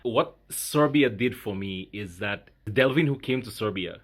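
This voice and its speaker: A man in his thirties from Grenada, talking reflectively to his viewers in a halting, casual way with many fillers. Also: fairly low pitch, fairly bright tone. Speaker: vlogger. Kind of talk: casual talk to camera.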